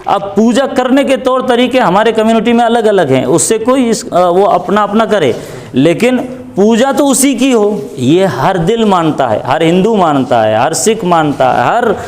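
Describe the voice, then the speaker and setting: Male interviewee, fairly high voice, explains deliberately like a preacher-teacher, restating key phrases. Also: expressive, a little mellow. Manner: deliberate, repeats phrases for emphasis.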